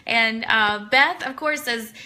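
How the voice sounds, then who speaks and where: A woman's voice: on the high side, slow, bright, forceful tone. A woman hosting a casual live interview, effusive and encouraging toward her guest and audience. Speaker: radio host, interviewer.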